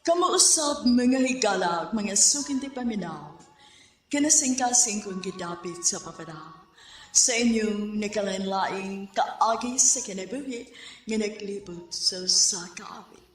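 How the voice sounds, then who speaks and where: A woman's voice: mid-range voice, lively intonation, a little slow, roomy sound, far from the mic, faint music behind. A woman with a Cebuano Filipino accent announcing a radio drama programme from a script.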